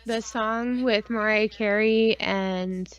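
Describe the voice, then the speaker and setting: A woman's voice: medium pitch, takes it slowly, clean tone, dull audio. A woman co-hosting a podcast in casual chat, rambling and swearing freely as she tells stories and acts out asides. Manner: profane, rambling, animated storytelling with acted-out asides. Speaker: podcast co-host.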